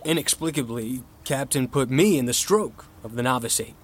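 An actor playing an earnest, competitive young novice rower, narrating an audio drama in the first person with candid introspection. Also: male voice, medium pitch, moderate pace, lightly acted. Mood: puzzled, recounting. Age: young adult. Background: music.

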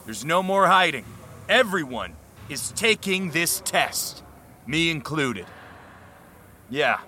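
Harsh, high-pitched young rowing team captain. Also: male voice, sharp tone, slight background noise, exaggerated voice. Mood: stern, challenging. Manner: commanding, harsh, blunt. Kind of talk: audio drama acting.

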